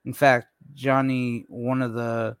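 A dry recording of a male radio host talking off the cuff. He speaks slowly at an ordinary male pitch, in a casual, halting way that often trails off. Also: little pitch movement, smooth, no echo. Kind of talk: casual, unscripted show commentary.

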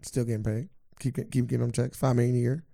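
Male co-host, gravelly and mellow, calm and easygoing, dry recording. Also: mid-range voice, quick pace, no echo. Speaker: podcast co-host. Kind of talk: casual sports chat.

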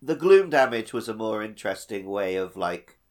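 A man's voice: lowish voice, animated, moderate pace. A man co-hosting a podcast, talking casually and conversationally about games. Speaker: podcast host. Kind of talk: casual game discussion and chat. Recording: slightly dull, lo-fi audio.

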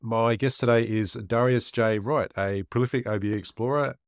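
A man's voice: mid-range voice, fairly even intonation, normal speed, over loud music. A man hosting a podcast interview, friendly and inquisitive. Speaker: podcast host.

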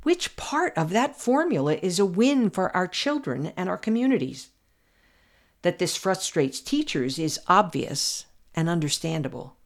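An older American woman reading her own essay aloud as a podcast commentator, earnest and measured, pressing hard on her key points. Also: fairly low pitch, sing-song.

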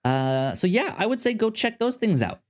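A man's voice: sits high, big pitch swings, moderate pace, a little mellow. Stuttering man hosting a casual show. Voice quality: stutters on words, repeating syllables. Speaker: podcast co-host. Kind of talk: casual review show chat.

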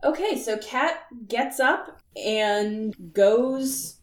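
A woman's voice: fairly high pitch, animated, extremely slow, roomy sound. A woman player describing her character's routine in a casual, rambling way.

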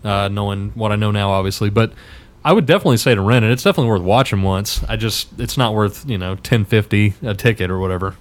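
A man's voice: on the low side, fast talker. A man on a podcast panel giving his opinions in casual film discussion. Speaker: podcast panelist reviewing films.

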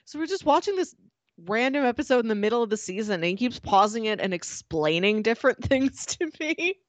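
A woman's voice: a little high, big pitch swings, a little fast, dry recording. Giggly woman chatting, dry close sound. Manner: informal, giggly, peppers talk with "like". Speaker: podcast co-host. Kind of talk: casual chat about a TV show.

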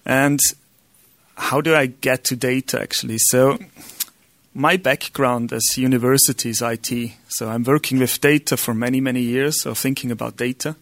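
Halting Austrian man giving a lecture. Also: mid-range voice, fairly even intonation, fairly bright tone, no room sound. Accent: Austrian German. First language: German. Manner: halting, many ums and restarts. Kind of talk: live conference lecture.

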